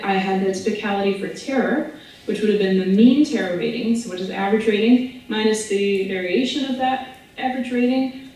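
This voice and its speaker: A woman's voice: medium pitch, darkish tone. A woman gives an informal, chatty conference presentation as a researcher, heard over a video call played into a room. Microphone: video call heard through room speakers from afar. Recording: echoey room, distant mic, replayed video-call audio.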